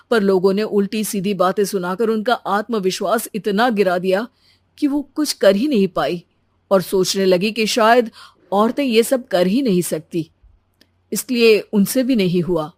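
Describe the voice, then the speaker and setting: A woman playing a supportive, reasonable adult son in a cartoon story, talking in a calm, reassuring way. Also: mid-range voice, unhurried, no room sound, clean studio sound, lightly acted. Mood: sympathetic. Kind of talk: Hindi cartoon story dubbing.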